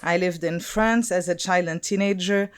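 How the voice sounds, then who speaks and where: A woman in her thirties from Lebanon, whose first language is Arabic, giving a design talk in a thoughtful, halting way with many pauses and fillers. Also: on the low side, fairly even intonation, clear, resonant tone. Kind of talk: conference talk.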